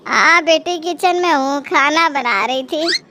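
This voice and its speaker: A woman's voice: high voice, unhurried, noisy background. A woman acting an elderly mother-in-law in a Hindi cartoon, syrupy to people's faces and full of self-pity. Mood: martyred complaining. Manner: melodramatic, self-pitying, syrupy.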